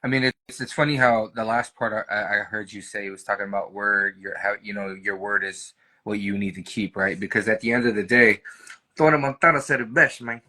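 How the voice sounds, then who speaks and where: A man's voice: fairly low pitch, steady tone, brisk, bright, forceful tone, low-quality recording. A man with an American accent co-hosting a casual live stream chat, talking in a rambling, conversational way with frequent check-in tags. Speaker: real estate team leader, co-host.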